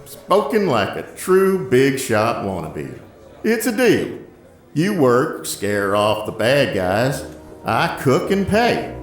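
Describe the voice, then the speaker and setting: A man playing a gruff, proud old diner owner in an audio drama, grumbling and wry. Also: medium pitch, huge pitch swings, takes it slowly, echoey room, soft background music. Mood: wry persuasion. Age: older.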